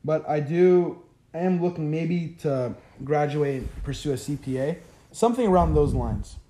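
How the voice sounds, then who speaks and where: A young man in his first year of college answers questions in a relaxed, talkative way, often leaning on "like." His voice is warm and mellow, and his first language is Arabic. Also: a little high, moderate pace. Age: young adult. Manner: chatty, frequent "like" fillers. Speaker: interviewee, college freshman. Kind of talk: casual interview answers.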